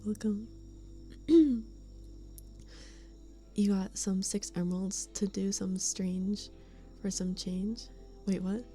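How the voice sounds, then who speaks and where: A woman's voice: medium pitch, fairly even intonation, takes it slowly, pauses often, warm tone, soft background music. A woman streamer chatting casually with her live chat, giggling often and sometimes dropping to a whisper. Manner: giggly, laughs often between remarks. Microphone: studio condenser mic.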